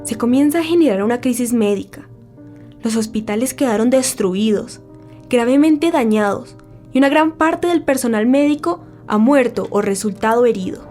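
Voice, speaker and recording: Woman doing old-time radio war news lady, kinda high voice, very formal n solemn, bit of music under. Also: expressive, normal speed, warm tone, close to an everyday voice. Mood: solemn, grave. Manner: formal, grave, dramatic delivery. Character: solemn 1940s radio newsreader, a woman, reporting war's end. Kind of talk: scripted radio news narration.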